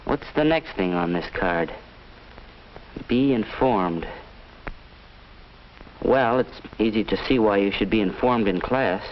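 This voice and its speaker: Earnest student, muffled sound. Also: male voice, on the low side, takes it slowly, long pauses. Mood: musing. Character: earnest, eager male high school student. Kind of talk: 1950s instructional film acting. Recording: muffled old film soundtrack, faint noise.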